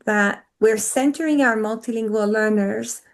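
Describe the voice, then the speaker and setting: A woman's voice: a little high, moderate pace. A woman whose first language is Hungarian, speaking earnestly as a panelist in an online discussion over a video call.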